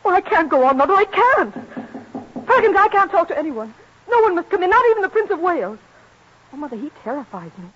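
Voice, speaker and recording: Very high, emotional young American prima donna. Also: female voice, sing-song, quick pace, slight background noise. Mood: frightened. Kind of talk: old-time American radio acting, theatrical. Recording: muffled old radio recording.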